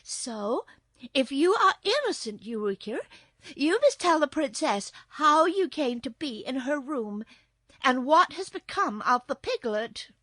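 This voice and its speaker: A woman's voice: high voice, big pitch swings, unhurried, a bit bright, dry recording, pitched above the performer's own voice, full character voice. A woman voicing an earnest, emotional little girl in a storybook, anxious, pleading and scolding. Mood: admonishing. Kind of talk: storybook character voice.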